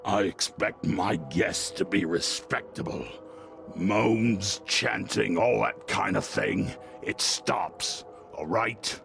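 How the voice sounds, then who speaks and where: A man's voice: lowish voice, takes it slowly, music in the background, full character voice. An actor playing a grumpy, suspicious male innkeeper who scolds his guest with contempt. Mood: grumbling. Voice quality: gravelly. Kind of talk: video game cutscene acting.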